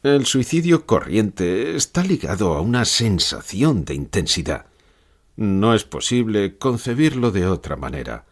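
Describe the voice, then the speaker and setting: A man acts out a brooding, lucid pessimist thinker for an audiobook. His voice is low and husky, rising and falling widely as he declaims his anguish in theatrical, rhetorical phrases. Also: big pitch swings, unhurried, heavily acted. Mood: reflective. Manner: dramatic, declamatory, rhetorical repeated questions. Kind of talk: acted fictional interview, audiobook.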